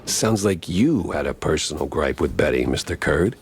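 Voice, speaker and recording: An actor playing a wry, deadpan private detective mouse in a noir cartoon game, in the style of 1930s detective films. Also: male voice, a bass voice, moderate pace, a little hiss or hum. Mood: probing, wry.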